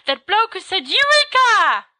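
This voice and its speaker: A woman voicing an excitable, playful teenage boy who keeps insisting and guessing eagerly. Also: very high voice, a little slow, no room sound, voice pushed up, heavily acted. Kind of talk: fan audiobook reading.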